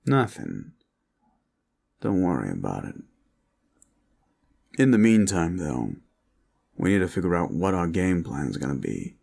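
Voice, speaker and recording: Man playing a low, slow, serious protector. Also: very animated, vocal fry, a little mellow, full character voice. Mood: calm, earnest. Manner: measured, earnest. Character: weary, serious male protector, calm and cautious. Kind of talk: audio roleplay drama.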